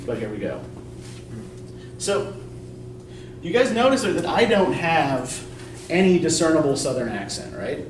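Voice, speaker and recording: A young man lectures a college writing class in a loose, rambling, self-deprecating way, peppering his points with "right?". His voice sits a little on the high side. He is captured from a distance in an echoey classroom, with a faint hum of noise underneath. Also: big pitch swings, unhurried, long pauses. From Eastern Pennsylvania. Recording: echoey classroom recorded from afar.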